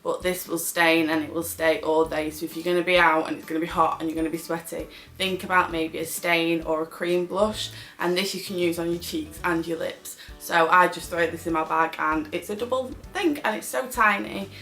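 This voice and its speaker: A woman's voice: fairly low pitch, fairly even intonation, normal speed, a bit bright, faint music behind. A British woman chatting casually as a beauty vlogger reviewing products.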